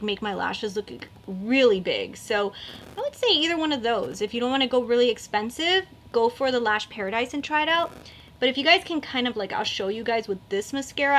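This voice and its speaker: A woman's voice: on the high side, fairly bright tone, a little hiss or hum. A woman in her thirties chatting casually while doing her makeup, rambling with lots of likes and fillers. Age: 30s. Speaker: beauty vlogger.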